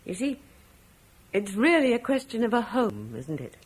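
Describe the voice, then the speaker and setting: A woman's voice: a little high, sing-song, moderate pace, husky. A worried, proper mother. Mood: gentle. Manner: gentle, careful and worried. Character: anxious, proper middle-aged mother. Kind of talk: 1950s radio drama acting.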